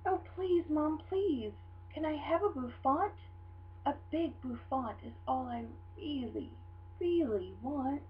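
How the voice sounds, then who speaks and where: A woman's voice: high voice, lively intonation, takes it slowly, voice pushed up. Woman voicing a dramatic, whiny little schoolgirl, swooping wildly. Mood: pleading. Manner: theatrical, whiny, emphatic. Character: dramatic, fashion-obsessed, pleading little schoolgirl. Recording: dull lo-fi sound with hum.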